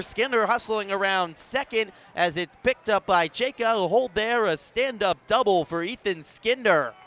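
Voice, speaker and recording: Give the voice of a male radio baseball announcer with a fairly high pitch. He calls play-by-play live from an outdoor ballpark, keeping a measured narration and punching up the key calls. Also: a bit bright.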